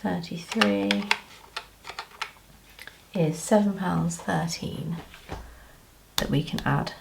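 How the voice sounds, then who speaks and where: A croaky woman from the UK talks through her budget at a slow, easy pace, counting pounds aloud with chatty asides. Her voice sits a bit low and has a gravelly edge. A steady hiss runs under the home recording. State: croaky voice, clearing throat. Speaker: budgeting vlogger. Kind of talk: casual cash-stuffing commentary.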